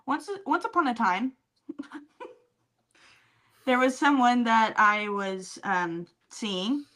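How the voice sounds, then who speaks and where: A woman's voice: a little high, drawn-out words, a bit bright. A woman podcast co-host chatting casually and telling comic stories with teasing, dry sarcasm. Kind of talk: casual comedic chat and storytelling.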